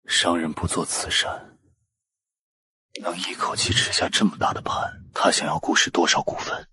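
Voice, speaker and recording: A man playing a suspicious, combative, protective figure in an animated web drama, curt and confrontational. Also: deep voice, moderate pace, breathy edge, heavily acted.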